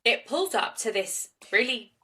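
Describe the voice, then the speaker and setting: A woman's voice: on the high side, expressive, unhurried, pushed and bright, slightly rough, far from the mic. A young woman from South East London with a local accent, co-hosting a true-crime podcast and telling the story in a chatty, jokey way. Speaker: podcast co-host. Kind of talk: casual true-crime chat.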